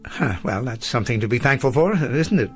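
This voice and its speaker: An actor playing an elderly, good-natured professor in a period TV drama, wordy and formal with old-fashioned diction. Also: male voice, mid-range voice, lively intonation, brisk, faint music behind, natural voice. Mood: polite, genial. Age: older. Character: elderly rambling, good-natured professor, proud of his school. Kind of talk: period television drama acting.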